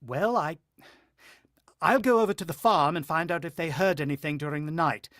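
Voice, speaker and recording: A man's voice: on the high side, moderate pace, fairly bright tone, no room sound, clean studio sound, natural voice. A man acting in a radio drama as a shaken, earnest, protective father with a hesitant way of explaining himself. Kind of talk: radio drama acting and first-person narration.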